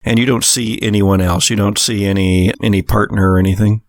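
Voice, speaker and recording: A man running a tabletop horror game as game master, narrating in a halting, conversational way. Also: lowish voice, fairly even intonation, no room sound, clean studio sound.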